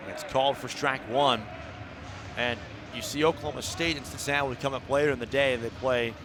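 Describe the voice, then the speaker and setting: Male baseball play-by-play voice, mid pitch, clipped live radio calls, steady outdoor background noise. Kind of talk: live radio sports commentary. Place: outdoor ballpark broadcast.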